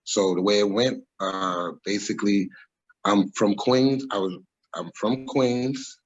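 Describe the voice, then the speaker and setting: A man, speaking on a video call as an interview guest. His voice sits very low and sounds husky. He reflects on the past at length, pausing with ums and often correcting himself. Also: fairly even intonation, dull audio. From New York, USA. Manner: rambling, reflective, frequent ums and self-corrections. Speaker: interview guest, former music manager. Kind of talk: casual storytelling interview. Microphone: video call webcam.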